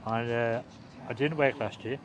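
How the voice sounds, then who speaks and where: A man's voice: mid-range voice, fairly even intonation, normal speed, rough recording. A man in his sixties, a friend of the hosts, chatting plainly and briefly outdoors. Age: 60s. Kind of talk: casual chat.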